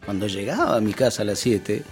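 A man's voice: on the low side, animated, a little fast, faint music behind. Argentine man telling an anecdote casually. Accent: Argentine, Rioplatense. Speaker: podcast guest, dubbing actor.

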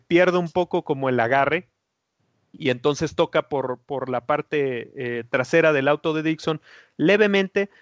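Measured man, dry lo-fi sound. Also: a little high, dry recording. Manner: measured, hesitant with fillers. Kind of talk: casual motorsport analysis.

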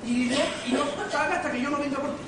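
An amateur actor as flustered, high-voiced blustering gentleman uncle. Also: fairly even intonation, rapid-fire. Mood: flustered pleading. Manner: imploring, blustering. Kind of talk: amateur radio farce acting. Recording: roomy, noisy, distant amateur recording.

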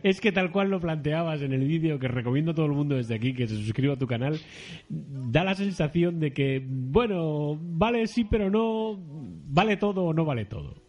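A man's voice: fairly high pitch, animated, normal speed, a little mellow, dull audio. A man hosting an interview with casual questions and chat. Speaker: interview host.

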